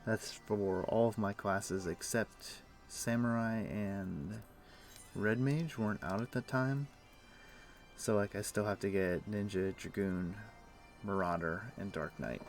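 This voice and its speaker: A man streaming a game talks in an easygoing, rambling way, stopping on fillers as he explains. His voice sits on the lower side. Quiet music plays behind him. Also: slow pace. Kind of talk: casual chat while playing.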